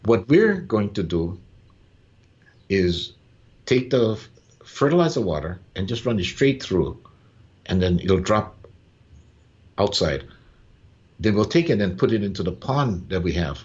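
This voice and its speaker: An older man, telling stories unhurriedly as a podcast guest, pausing on "uh" and tagging lines with "yeah?". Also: fairly low pitch, sing-song, darkish tone. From Hawaii. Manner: unhurried, with frequent "uh" pauses and "yeah?" tags. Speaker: guest, farmer and business owner. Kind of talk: relaxed storytelling in conversation. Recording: dull lo-fi sound.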